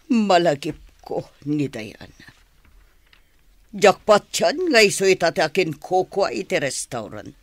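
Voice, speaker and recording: High-voiced musing schemer. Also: male voice, no echo, full character voice. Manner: musing aloud, drawn-out. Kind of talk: Philippine radio drama acting.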